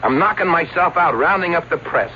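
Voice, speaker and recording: Skeptical, nagging showbiz manager, male actor: mid pitch, animated delivery, old-time radio drama, slightly noisy recording. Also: brisk, lo-fi sound, lightly acted. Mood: exasperated. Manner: wry, nagging, plain-spoken. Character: exasperated, skeptical longtime publicity manager of a stuntman. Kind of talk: vintage radio drama acting.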